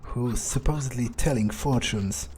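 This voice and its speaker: A man with a husky voice doing in-character commentary on a game, musing aloud to himself. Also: medium pitch, unhurried, a little mellow, slight background noise. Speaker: gaming video maker.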